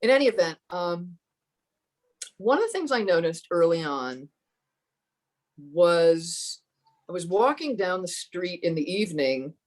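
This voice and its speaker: A woman with a fairly low voice telling her personal story as a podcast guest, thoughtful and earnest, often restarting her sentences. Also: expressive. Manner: thoughtful, halting, with many restarts.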